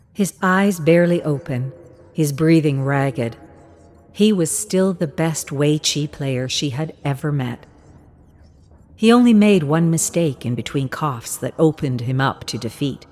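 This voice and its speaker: A woman's voice: low voice, a little mellow. A woman narrator reading a scripted story.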